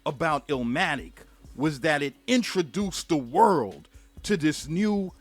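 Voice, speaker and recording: A man narrating a played music history video in an animated way, laughing often as he goes. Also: fairly high pitch, takes it slowly. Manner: animated, laughing often, acts out little scenes. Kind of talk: scripted narration with asides.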